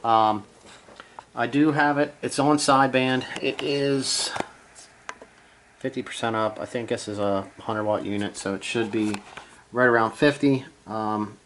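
A man with a mid-range voice talks slowly through a piece of hobby gear. He is casual and unscripted, halting as he thinks aloud. He speaks from behind the camera in a small room at home. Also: mid-range voice, fairly even intonation, a bit bright, a little hiss or hum. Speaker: amateur radio hobbyist, presenter. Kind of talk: casual hands-on gear demonstration.

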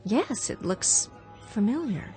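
A woman's voice: on the high side, big pitch swings, takes it slowly, dark tone, faint music behind. A woman playing an anxious, hesitant young traveler in a radio drama, flustered yet insistent. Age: young adult.

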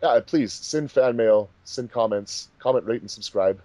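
A man's voice: medium pitch, a little slow, mellow, no room sound. Man with very dry, close sound. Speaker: host of a fan dub commentary track. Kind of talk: casual group commentary chat.

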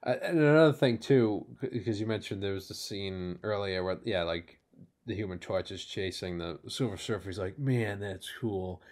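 Low-voiced young man, laid-back chat. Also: moderate pace, a little mellow. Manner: laid-back, filler-heavy, chuckling. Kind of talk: casual chat.

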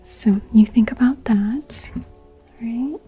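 A young woman whispering softly and breathily in an ASMR personal-attention roleplay as an art teacher, soothing and gentle, close to the microphone. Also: fairly high pitch, takes it slowly, slightly rough, lo-fi sound, faint music behind. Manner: soft, soothing, gentle, repeating phrases. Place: small bright plant-filled room indoors. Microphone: close studio microphone with fluffy cover.

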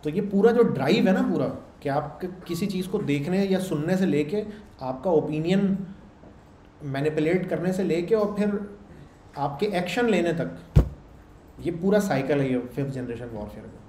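A man's voice: a little high, lively intonation, moderate pace, mellow. A man teaching a class in a casual lecture style, checking in with his listeners as he explains. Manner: conversational, explains with checks like "samjh rahe ho" and "theek hai". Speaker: programming instructor. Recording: echoey room with faint background hum.

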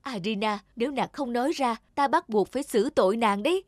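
A woman's voice: a little high, a little fast, dry recording, studio quality, natural voice. A woman voicing an earnest, commanding young king in formal courtly speech for a cartoon fairy tale. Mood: anguished, stern.

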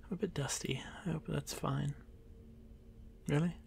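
Guy doing a nervous lonely spider-elf boy, asmr roleplay, super croaky quiet stammery voice, bit of background noise. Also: fairly high pitch, fairly even intonation, unhurried. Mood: nervous, apologetic. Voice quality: gravelly, creaky. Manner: hesitant, stammering, soft-spoken, often dropping to whisper. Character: shy, nervous young male drider, lonely and apologetic. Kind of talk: ASMR fantasy roleplay addressed to the listener.

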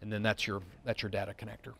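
A man's voice: on the low side, monotone, quick pace, creaky, fairly bright tone, slight background noise. A middle-aged man leading a seminar, explaining a product in an informal, plain-spoken way with casual asides. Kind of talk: informal technical product demonstration. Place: studio room, seated at demo table among a small group. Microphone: wireless mic, close.

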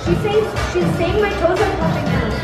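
Slow, high-voiced giggly young woman. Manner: giggly, playful, unhurried. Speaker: vlogger. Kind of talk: casual chat. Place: shopping mall, indoors. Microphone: handheld camera at arm's length.

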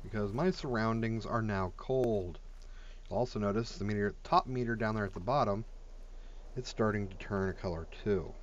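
A man's voice: lowish voice, normal speed, muffled recording, slight background noise. A man giving casual, blunt and sweary commentary while he plays and reviews a video game. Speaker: gamer reviewing a game mod.

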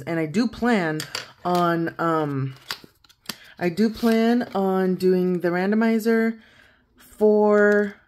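Woman, 30s, lowish croaky morning voice, chatty, counts aloud while filming at a home desk; faint noise under the voice. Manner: chatty, counts out loud, laughs easily. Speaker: savings challenge vlogger. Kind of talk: casual chat while counting cash.

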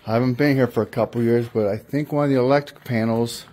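A man's voice: medium pitch, fairly even intonation, fast talker, warm tone, a little hiss or hum. Rambling man narrating a walkabout. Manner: rambling, self-correcting, plain-spoken. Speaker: urbex vlogger. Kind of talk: casual walking narration. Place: outdoors and in a large abandoned industrial building.

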